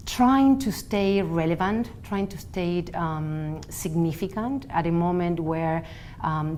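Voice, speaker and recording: A woman's voice: on the low side, darkish tone, slight background noise. Thoughtful woman. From Argentina. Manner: thoughtful, pauses with frequent ums. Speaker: architect. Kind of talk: documentary interview.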